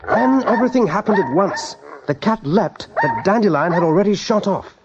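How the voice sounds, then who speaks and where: A man's voice: high-pitched, expressive, normal speed, heavy background noise. A man narrating a radio drama as a storyteller, with vivid, suspenseful delivery. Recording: dull 1980s radio recording.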